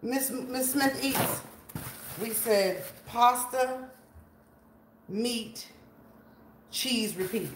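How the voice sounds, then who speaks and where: A woman's voice: on the high side, drawn-out words, pauses often. A woman in her forties hosting a casual live cooking stream in a large home kitchen, chatting with viewers and greeting them by name while she cooks, away from a tripod camera. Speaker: cooking livestream host. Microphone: camera on a tripod, moving around it. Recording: echoey kitchen with faint room noise.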